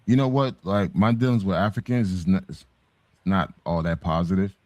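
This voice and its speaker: A man's voice: deep voice, moderate pace, darkish tone, dry recording, muffled recording. A middle-aged American man of Haitian background in a casual, opinionated discussion, speaking emphatically with repeats and swearing. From United States, Haitian background. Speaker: talk show participant.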